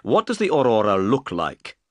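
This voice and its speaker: A man's voice: lowish voice, wildly swooping pitch, moderate pace, dry recording. A man voicing a composed, courteous, shrewd detective in an audiobook story, polite and confident. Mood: courteous, concerned.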